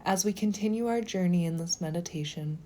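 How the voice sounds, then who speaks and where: A woman leading a guided meditation in a slow, gentle, soothing manner, with phrases sometimes softening toward a whisper. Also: on the low side.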